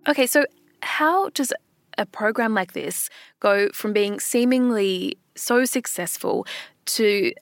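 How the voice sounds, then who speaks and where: A woman hosting a news podcast, presenting clearly and steadily. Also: mid-range voice, lively intonation, takes it slowly, faint music behind. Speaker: podcast host.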